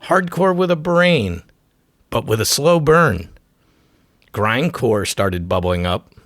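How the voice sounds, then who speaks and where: A man's voice: medium pitch, lively intonation, slow, a bit bright, dry recording, studio quality. A man narrating a scripted music documentary as its host, delivering short, punchy, dramatic phrases. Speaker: host of a music history show.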